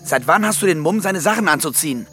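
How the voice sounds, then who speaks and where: A high-voiced, coarse, suspicious bully. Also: male voice, animated, fast, bright, forceful tone, soft background music. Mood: suspicious, needling. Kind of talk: German audio drama dialogue acting.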